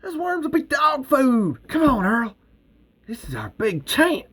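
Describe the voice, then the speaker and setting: A man reading out a screenplay, voicing an excitable scheming male hustler who pitches high and loud. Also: slow pace. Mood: excited.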